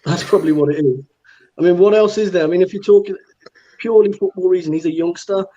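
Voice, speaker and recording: A man's voice: high voice, fast, dark tone, dull audio. A man in his thirties, a fan guest on a football chat show, giving blunt, rambling opinions. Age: 30s.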